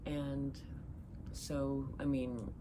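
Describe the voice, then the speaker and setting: A woman's voice: rumbling low, flat delivery, drawn-out words, noisy background. A woman hosting an interview, asking friendly, curious questions. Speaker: podcast host.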